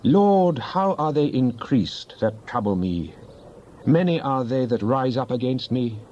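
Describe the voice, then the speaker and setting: A man's voice: mid-range voice, lively intonation, measured pace, mellow. A man giving a solemn, declamatory dramatic recitation of psalms as a scripture reader. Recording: dull lo-fi, faint background noise.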